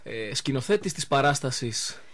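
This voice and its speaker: A man hosting a live radio interview in speech, chatting informally with pauses as he goes. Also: medium pitch, lively intonation, fairly bright tone, dry recording. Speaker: radio show host.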